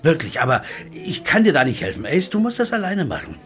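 A man's voice: fairly high pitch, huge pitch swings, brisk, fairly bright tone, soft background music. An actor playing a weary male American jazz pianist and former private eye, who narrates in a guarded, dry way. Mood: refusing. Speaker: narrator and main character. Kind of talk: radio play acting.

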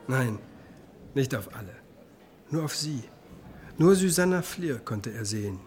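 An actor playing a reflective musicologist who narrates his memories in a measured, understated radio drama style. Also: medium pitch, animated, music in the background, natural voice. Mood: musing.

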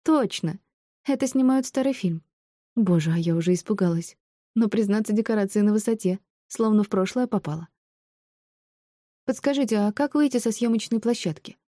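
A woman voicing an indignant, bewildered young woman in an audiobook, exclaiming and quick to snap. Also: medium pitch, warm tone, no echo, clean studio sound, voice pushed up, natural voice. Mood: confused, excited. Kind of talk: audiobook character acting.

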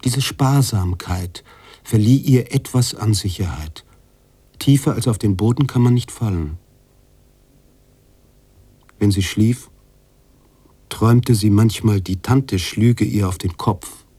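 A man playing a brooding, contemplative narrator-author in a literary radio drama, speaking deliberately with pauses between phrases. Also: fairly low pitch, mellow, breathy edge, dry recording. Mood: somber, reflective. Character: brooding male narrator-author, contemplative, troubled by his heroine.

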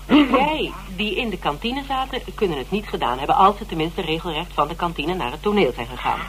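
A woman's voice: lowish voice, big pitch swings, fairly quick. A woman playing a shrewd, inquisitive sleuth in a radio drama, pondering and probing dryly. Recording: noisy, humming old radio play tape.

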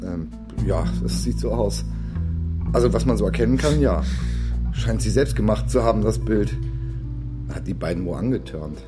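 An actor playing a naive, bumbling young amateur sleuth in a crime radio play, talking casually and haltingly. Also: male voice, on the low side, expressive, music in the background, natural voice. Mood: hesitant. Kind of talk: naturalistic German radio crime drama.

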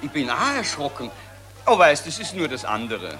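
An actor playing a timid, naive Viennese officer chatting hesitantly in a 1940s radio play. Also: male voice, a little high, sing-song, moderate pace. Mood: nervous. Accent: Viennese Austrian. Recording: vintage radio, music behind.

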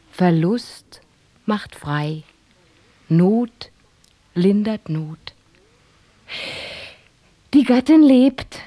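A woman playing an old, knowing fortune-telling nursemaid, speaking cryptic verse in a measured, oracular way in an audio drama. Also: medium pitch, dark tone. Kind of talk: rhymed verse radio drama acting.

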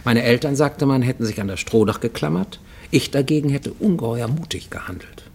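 An actor playing a grown man, orphaned as a child, who recounts his past in a measured radio-play monologue with dramatic pauses. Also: medium pitch, animated, creaky, darkish tone, breathy edge. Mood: confiding, wry. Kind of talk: literary first-person monologue in a radio play. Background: music.

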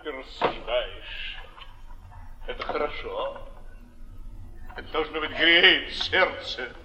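A man's voice: mid-range voice, expressive, slow pace. An actor playing a subdued, weary, resigned man in a theatrical Russian stage style, on an echoey old theatre recording. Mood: wistful, resigned.

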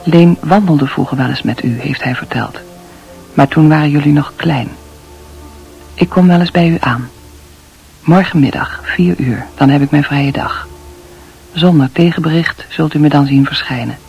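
A woman's voice: deep voice, moderate pace, gravelly, pauses often, lo-fi sound, soft background music. An actress playing a modest, politely reserved female hospital nurse in a radio play, speaking simply and matter-of-factly. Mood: reserved, friendly. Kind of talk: Dutch radio play acting.

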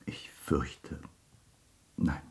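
An actor playing a grave, sympathetic family doctor in a German radio drama, speaking gently and haltingly. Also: male voice, in a whisper. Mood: grave, reluctant. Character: grave, sympathetic male family doctor breaking bad news.

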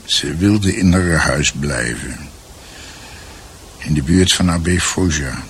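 An actor in a radio drama playing a grave man recounting family history in measured, short phrases. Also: a bass voice, a bit bright, slight background noise, heavily acted. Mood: grave, reflective. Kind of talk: radio drama acting, storytelling.

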